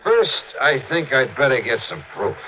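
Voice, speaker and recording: An actor playing a shrewd, skeptical American investigator with a wry, questioning manner in a 1950s radio drama. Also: male voice, mid-range voice, sing-song, moderate pace, natural voice. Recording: dull, noisy 1950s radio recording.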